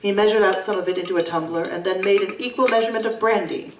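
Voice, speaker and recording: A woman's voice: medium pitch, quick pace, slight background noise, lightly acted. A woman playing the narrator of a period gothic audio drama, recounting events in formal, literary storytelling. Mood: grave, recounting. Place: live convention performance in a room. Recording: roomy live stage recording, distant mic.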